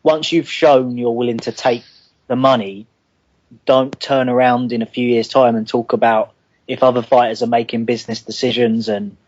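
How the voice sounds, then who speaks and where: A man's voice: mid-range voice, steady tone, moderate pace, a little mellow, no room sound, highs cut off. A man with a London accent, calling in to give long opinions on boxing. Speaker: caller. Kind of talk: casual boxing chat.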